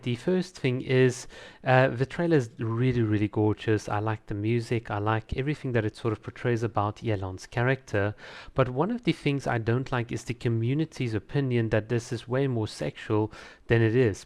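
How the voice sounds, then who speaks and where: Man giving casual, enthusiastic commentary. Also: mid-range voice. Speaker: host of a video channel reviewing game trailers.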